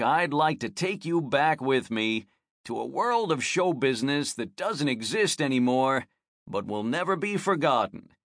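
A man's voice: fairly high pitch, a bit bright, no echo. A man reading a first-person memoir aloud in a wry, self-mocking storytelling manner, close to a studio mic. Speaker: audiobook narrator.

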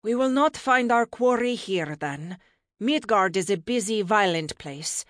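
A woman's voice: mid-range voice, lively intonation, unhurried, a bit bright, no echo, studio quality, voice pushed up. A woman voicing a fierce, proud and impatient ancient goddess in a fantasy audiobook, with a formal, commanding manner. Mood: disdainful.

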